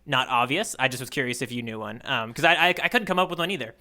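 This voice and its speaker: A man co-hosting a podcast, chatting casually and playfully as he recaps a story with animated, mock-earnest delivery. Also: mid-range voice, fast talker. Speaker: podcast co-host playing the tourist. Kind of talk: casual bantering recap of a manga chapter.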